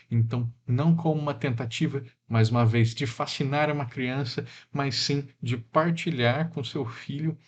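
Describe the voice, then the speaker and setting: A man from Mato Grosso do Sul lectures at a relaxed, reflective pace, pausing on fillers and checking in with tag questions. His voice sits at an ordinary middle pitch. The recording is a little dull and lo-fi. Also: slight background noise. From Mato Grosso do Sul, Brazil.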